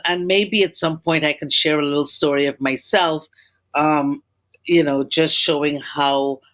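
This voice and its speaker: A woman from Guyana, a school leader, talking as a guest in an interview and often repeating words while she thinks. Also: low voice. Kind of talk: interview conversation.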